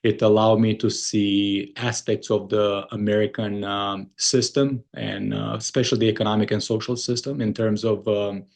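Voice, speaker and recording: A middle-aged man from Romania with a Romanian accent, speaking earnestly as an interview guest, often pausing on "uh" and restarting his sentences. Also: lowish voice, flat delivery, creaky, a little mellow. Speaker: guest, moving company founder. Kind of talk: conversational interview.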